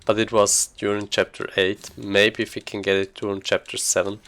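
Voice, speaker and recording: Guy with a lowish voice casually talking thru his game run, kinda halting, thinking out loud. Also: flat delivery. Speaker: speedrunner commentating gameplay. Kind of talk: casual live gaming commentary.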